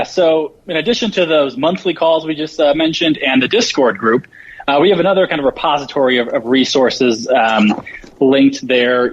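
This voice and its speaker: A man talking as a guest, casually explaining what resources his program offers, over dull call audio. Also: on the high side. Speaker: show guest, program organizer. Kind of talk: casual informational talk.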